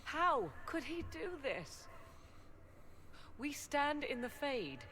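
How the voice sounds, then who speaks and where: A woman's voice: high voice, big pitch swings, slow pace, fairly bright tone, a little hiss or hum, full character voice. An actress playing an anguished, proud sorceress mother in a video game, speaking in formal, theatrical phrases. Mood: frantic, anguished. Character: anguished, proud mother sorceress searching for her lost son. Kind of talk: dramatic video game acting.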